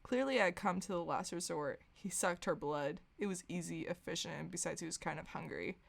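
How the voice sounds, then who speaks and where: A woman with a slightly low voice hosts a comedy podcast. She reads fan fiction aloud as mock-serious drama. Amusement often colours the narration. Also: a little fast, vocal fry. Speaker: podcast host.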